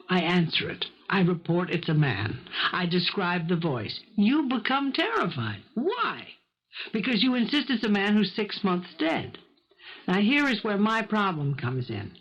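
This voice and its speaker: Nosy blunt maid, old radio mystery acting, pointed questions, kinda muffled lo-fi sound. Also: female voice, fairly low pitch, very animated, unhurried, breathy edge, exaggerated voice. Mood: probing, insistent. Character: blunt, probing maid who speaks her mind. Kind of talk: 1970s radio drama acting. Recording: dull 1970s radio broadcast recording.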